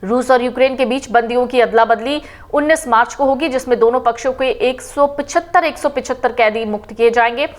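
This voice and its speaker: A woman reading a scripted news report in a steady, formal manner. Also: on the high side. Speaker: news reader.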